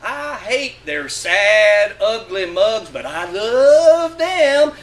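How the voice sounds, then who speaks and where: A man's voice: high voice, big pitch swings, extremely slow. A man giving a dramatic, sardonic and emphatic reading of a literary monologue. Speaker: reader of a short story.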